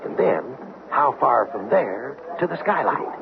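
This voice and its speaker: A man's voice: medium pitch, sing-song, unhurried, vocal fry. A man playing a scheming, cocksure convict in an old-time radio drama, talking in a folksy, urgent, confident way. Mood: conspiratorial, confident. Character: scheming, cocksure male convict planning a prison breakout. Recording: dull, noisy old radio recording.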